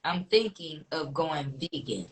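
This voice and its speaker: A woman's voice: sits low, animated, unhurried. A woman in her forties from Nebraska, hosting a casual radio interview in an easygoing way with frequent short affirmations. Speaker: radio host.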